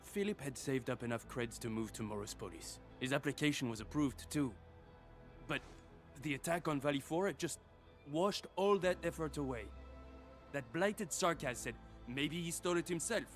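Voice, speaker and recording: A man's voice: on the high side, lively intonation, moderate pace, soft background music, close to an everyday voice. Male actor as earnest, loyal logistics supervisor. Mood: indignant.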